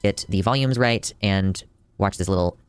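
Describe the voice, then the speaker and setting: A man's voice: deep voice, normal speed, slight background noise. A man in a video, giving an earnest, explanatory scripted commentary.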